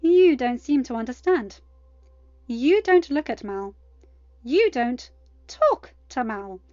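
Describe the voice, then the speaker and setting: A woman's voice: high voice, very animated, slow pace, dark tone, no room sound, lo-fi sound, voice pushed up, heavily acted. A woman voicing an imperious, protective goddess mother in a storybook, speaking with emphatic, threatening formality. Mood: menacing.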